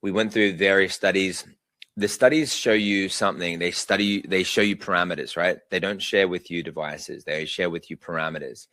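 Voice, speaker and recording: A man's voice: sits low, fairly even intonation, moderate pace, fairly bright tone, no room sound. A man hosting a live webinar, talking casually through slides with lots of fillers. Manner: casual, chatty, many fillers. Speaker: webinar host.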